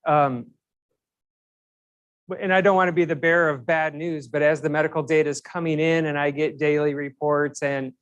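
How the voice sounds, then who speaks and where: A man's voice: fairly high pitch, fairly even intonation, moderate pace, lo-fi sound. A male physician speaking informally to a public commission, conversational with frequent fillers and repeated words. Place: meeting room.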